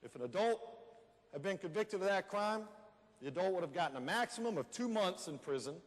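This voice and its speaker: A high-pitched male American voice in a reverberant hall, an actor playing an aggrieved, plainspoken man on stage. He tells his own story conversationally, landing short phrases with emphasis. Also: animated, fairly quick. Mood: indignant. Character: aggrieved plainspoken man recalling his wrongful jailing as a teenager. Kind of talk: readers theater monologue to an audience.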